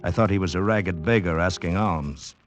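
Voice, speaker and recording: Actor as a low-voiced, haunted mining engineer. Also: male voice, highs cut off, soft background music, natural voice. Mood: grave, haunted. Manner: grave, measured, dramatic storytelling. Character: haunted mining engineer, former mountaineer, telling his tale. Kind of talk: old-time radio drama acting.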